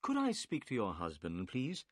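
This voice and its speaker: A calm, clever male detective, polite and quietly sure of himself, as a male reader plays him. His lines come brisk and assured, pitch moving in wide sweeps as in a storybook reading. The recording is dry and close. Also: no room sound. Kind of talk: audiobook character voice.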